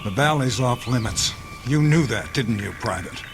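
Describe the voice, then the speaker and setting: A man's voice: mid-range voice, expressive, moderate pace, over music, close to an everyday voice. An actor playing a stubborn, weary army captain who is protective of his men, in a radio drama. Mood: stern, questioning.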